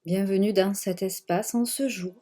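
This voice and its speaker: A woman leading a guided yoga nidra relaxation in a gentle, measured manner, leaving long pauses and repeating phrases. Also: medium pitch, fairly even intonation. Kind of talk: guided meditation, slow spoken relaxation.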